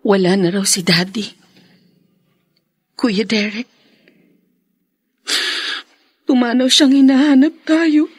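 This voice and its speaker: An actress playing a gentle, sorrowful younger sister in a Filipino radio drama. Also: female voice, fairly high pitch, fairly even intonation, a little slow, slightly rough, no room sound. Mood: grieving.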